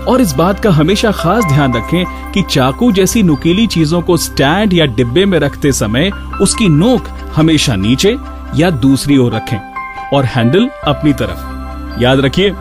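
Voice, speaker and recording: Higher-pitched male radio host over music. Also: lively intonation, a little slow. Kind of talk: scripted radio narration. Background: music bed.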